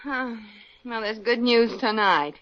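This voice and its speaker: An actress playing a brash, fast-talking young heroine in a 1950s radio comedy. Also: female voice, a little high, a bit bright, no room sound. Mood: evasive. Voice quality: brash, wisecracking. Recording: dull lo-fi old radio transcription.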